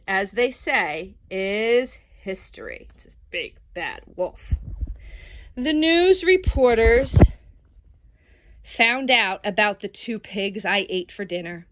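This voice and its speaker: A woman voicing a self-justifying wolf who tells his side of a children's storybook with folksy, aggrieved, dramatic delivery. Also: on the high side. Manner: dramatic, drawn-out storytelling with sudden swoops and hushes. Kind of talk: children's storybook read-aloud.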